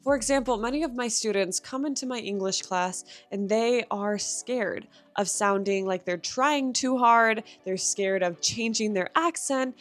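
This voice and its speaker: A young adult woman who teaches English, giving encouraging, direct language-learning advice in a scripted lesson. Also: mid-range voice.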